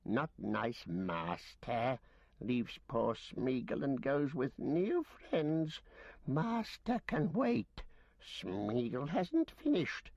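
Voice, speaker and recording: A man's voice: mid-range voice, sing-song, drawn-out words, creaky, a little mellow, dry recording, highs cut off, voice pushed up, exaggerated voice. A man voicing a wretched, grasping male creature who hisses, whispers and mutters to himself in a broken, wheedling way. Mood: sulky. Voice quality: hissing, whispery, swooping. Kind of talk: audiobook character voice.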